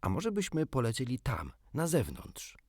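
A man voicing an eager, curious, practical young boy in a storybook, wondering and excitable. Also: mid-range voice, normal speed, slightly rough, no room sound, pitched above the performer's own voice.

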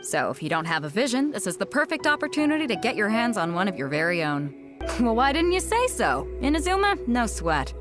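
A woman's voice: very animated, brisk. An actress voicing a bold, confident female sea captain who organizes a fighting tournament and teases her companions, in a game cutscene with music behind her. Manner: booming announcer calls to a crowd. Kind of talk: video game voice acting.

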